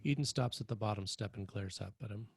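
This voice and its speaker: A man reading screenplay stage directions as scripted narration, with a husky, gravelly voice. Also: on the low side, fast talker, dry recording, clean studio sound.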